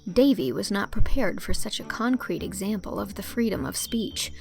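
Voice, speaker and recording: A woman narrating a literary audiobook in a measured, articulate storytelling manner. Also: medium pitch, very animated, gravelly, soft background music, poor audio.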